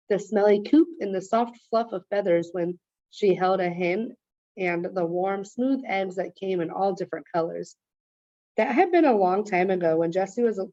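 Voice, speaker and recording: A woman's voice: mid-range voice, dark tone, no echo, lo-fi sound. A woman reading a children's story aloud as narrator.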